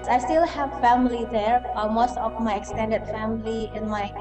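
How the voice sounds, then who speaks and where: A woman's voice: a little high, fairly even intonation, moderate pace, highs cut off. A woman from Indonesia speaking simply about her family in an interview. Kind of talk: interview testimony. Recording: reverberant hall, music behind.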